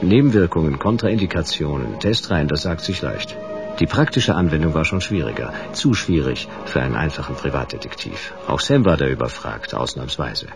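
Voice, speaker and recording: An actor playing a hard-boiled private detective in a radio play, wry and unruffled, with a laconic, sardonic delivery. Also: male voice, rumbling low, expressive, brisk. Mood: wry noir narration. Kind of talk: radio play dialogue and noir first-person narration. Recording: radio play with music underneath.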